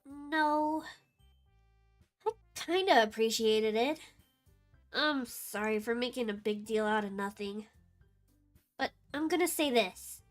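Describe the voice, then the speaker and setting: Visual novel role: proud, blunt teenage girl with a high voice, played by a woman. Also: slow, long pauses, soft background music. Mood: huffy, proud.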